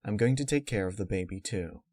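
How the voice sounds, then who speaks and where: A man voicing a dutiful young unicorn mare, polite, composed and calculating, in a dark story reading. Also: on the low side, brisk, no room sound, studio quality, natural voice. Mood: soothing reassurance.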